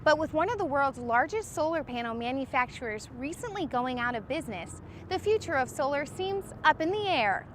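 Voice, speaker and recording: Young American female news reporter, high voice, polished emphatic read of scripted stories. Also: animated, a little fast, a little hiss or hum. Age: 20s. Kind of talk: scripted broadcast news narration.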